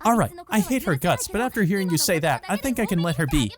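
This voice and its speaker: Man putting on a high-pitched, excitable jealous vampire girl. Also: quick pace, over music. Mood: flustered, elated. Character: jealous, excitable young vampire woman, girlish and possessive. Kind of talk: visual novel character read aloud.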